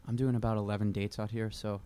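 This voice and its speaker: A man from Pennsylvania, a musician guest, answering interview questions in a relaxed, halting way. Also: fairly low pitch, clean tone. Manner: relaxed, halting, many fillers.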